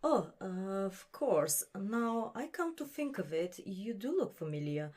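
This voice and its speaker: A woman's voice: mid-range voice, very animated, a little slow, a little mellow. A woman playing an elegant, gracious star actress in an audio drama, polite, poised and faintly condescending. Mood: indulgent. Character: elegant, gracious celebrated actress, coolly indulgent.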